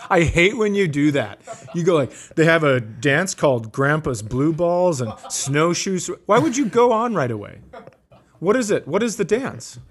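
A man's voice: on the high side, sing-song, moderate pace. A man co-hosting a comedy podcast in casual banter, cutting in with pointed questions and dry quips. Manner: interjecting, mock-exasperated questioning, dry quips.

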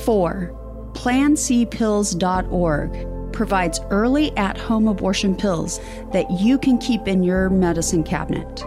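A woman's voice: medium pitch, animated, slow. Woman reading an announcement over music. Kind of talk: scripted public service announcement.

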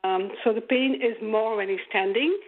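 A woman's voice: fairly high pitch, normal speed. A woman calling into a radio show as a mother explains her situation hesitantly, with many fillers. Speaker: caller, a mother. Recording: tinny phone line.

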